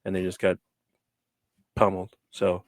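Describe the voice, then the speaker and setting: Low-voiced man rambling about football. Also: monotone, normal speed, a little mellow, no room sound. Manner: rambling, hesitant, with frequent restarts. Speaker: podcast co-host. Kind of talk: casual sports podcast talk.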